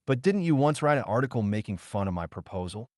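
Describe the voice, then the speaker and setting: A man's voice: medium pitch, big pitch swings, fast, darkish tone, no room sound, studio quality, close to an everyday voice. A man voicing an earnest, proud male scientist in an audiobook, speaking in a formal, emphatic way. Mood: wry, solemn.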